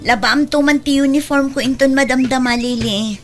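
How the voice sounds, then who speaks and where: A woman's voice: high voice, fairly even intonation, moderate pace, soft background music, close to an everyday voice. A woman with an Ilocano accent acting a stern, responsible aunt in an audio drama, firm and admonishing. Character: stern, responsible aunt and daughter, advising family.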